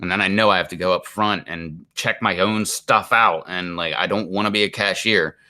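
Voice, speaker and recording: A man's voice: deep voice, steady tone, moderate pace, pushed and bright. A man, chatting casually to stream viewers in a rambling, exasperated way full of "like". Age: 40s. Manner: rambling and exasperated, heavy use of "like". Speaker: live stream host.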